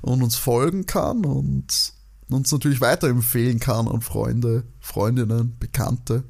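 A man's voice: medium pitch, steady tone, measured pace, vocal fry, a little mellow. Austrian man bantering as podcast host. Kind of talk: casual banter.

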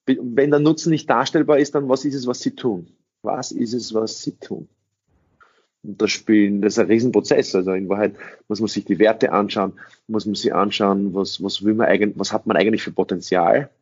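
A man's voice: lowish voice, fairly even intonation, brisk, darkish tone, breathy edge. A middle-aged man, an English speaker, answering interview questions as a sales trainer in an emphatic way, repeating phrases and asking rhetorical questions. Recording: dull lo-fi recording.

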